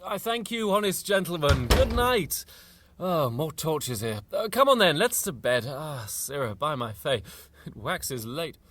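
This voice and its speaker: An actor playing a jovial older lord of the house in an amateur Shakespeare audio drama, recorded at home on a phone. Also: male voice, fairly high pitch, big pitch swings, measured pace, a bit bright, slight background noise. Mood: hearty, then weary.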